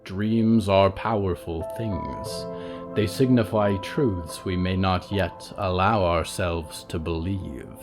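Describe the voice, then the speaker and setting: An actor playing an eerie, courtly monster who hosts nightmares, narrating a horror audio drama in a formal, theatrical manner. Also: male voice, deep voice, fairly even intonation, takes it slowly, over music.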